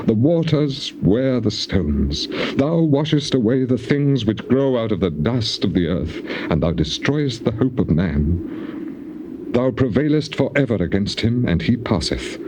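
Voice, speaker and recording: A man's voice: medium pitch, very animated, measured pace. An actor playing a grieving, embittered man of the Bible in a solemn, declamatory theatrical recitation of old scripture. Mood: mournful. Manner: solemn, declamatory, archaic phrasing. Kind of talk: dramatised King James scripture, theatrical recitation. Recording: noisy old cassette tape.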